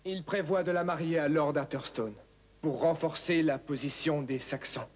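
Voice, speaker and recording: A man's voice: on the high side, moderate pace, very noisy, close to an everyday voice. A man playing an earnest, honourable young knight in a cartoon, speaking formally. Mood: regretful. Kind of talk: dubbed cartoon acting.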